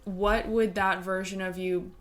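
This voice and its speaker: Calm woman explaining things steadily. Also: medium pitch, measured pace. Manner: steady, explanatory, lots of soft "mm" agreement. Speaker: podcast co-host. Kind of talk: explanatory podcast chat.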